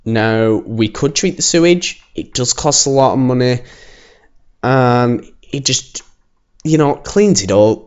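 A man hosts his own let's-play, chatty and self-assured as he clicks through a city build. His voice sounds a little croaky, and the home recording is dull and lo-fi. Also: medium pitch, slow pace. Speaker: gaming channel host. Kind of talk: casual let's-play commentary. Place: home, alone.

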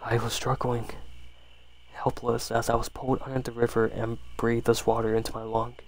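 Male ASMR horror narrator, soft and unhurried, noticeably creaky voice, fairly even pitch. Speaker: storyteller. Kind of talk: ASMR bedtime horror story reading.

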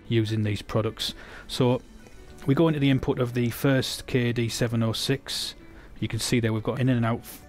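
An English man giving a technical product demonstration, explaining steadily with frequent restarts. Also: medium pitch.

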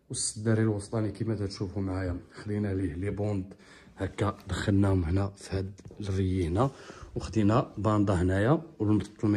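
A man with a Moroccan Arabic accent talks fast as he walks his phone through a large tiled living room. His voice sits low and mellow, with a faint hiss behind it. He pitches his work like a salesman and keeps asking viewers if they see. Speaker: upholstery shop owner showing his work. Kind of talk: walkthrough product showcase. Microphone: phone camera held while walking.

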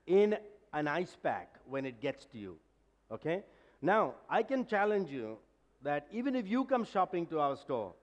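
A man's voice: on the high side, highs cut off. A man, speaking directly and emphatically as a conference speaker on stage. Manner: direct, emphatic, gesturing while talking. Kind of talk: conference talk and Q&A. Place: large conference hall stage. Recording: long hall reverb.

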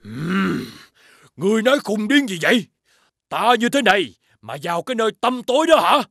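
A man voicing an arrogant, scornful prince in an animated fairy tale, haughty in manner. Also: sits high, moderate pace, creaky, dry recording, clean studio sound, exaggerated voice.

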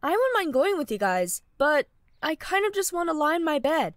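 Woman acting a high-pitched, feisty schoolgirl. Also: big pitch swings, normal speed, no room sound, clean studio sound. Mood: dreamy, blunt. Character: feisty, food-loving teenage schoolgirl. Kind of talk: amateur audio drama acting.

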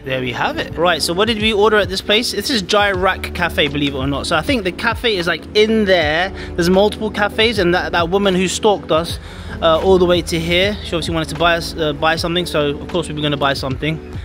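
A man in his forties casually narrating a travel vlog outdoors on a handheld camera, rambling with self-deprecating asides. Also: fairly high pitch. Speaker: vlogger. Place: outdoor cafe grounds.

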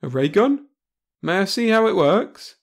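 A man's voice: high-pitched, expressive, a little slow, creaky, voice pushed up, heavily acted. A man voicing an earnest, brash space traveler in an audiobook, speaking in an eager, declamatory way. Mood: eager questioning.

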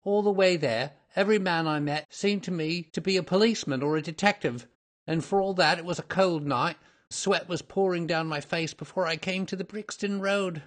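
A man's voice: normal speed, natural voice. A man voicing a terrified, cringing small-time thief in an audiobook story, with a crackling, pleading voice. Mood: nervous confession. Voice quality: crackling, shrill when pleading.

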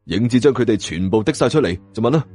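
A man's voice: lowish voice, animated, fast talker, mellow, dry recording, clean studio sound. A man with a Hong Kong Cantonese accent, narrating an audiobook in a dramatic first-person storytelling style.

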